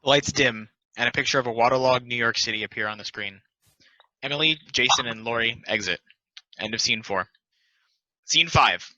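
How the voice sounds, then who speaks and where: Very bright, forceful man reading stage directions. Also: mid-range voice, moderate pace, no room sound. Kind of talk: scripted narration at a play table read. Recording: dull, lo-fi, dry close sound.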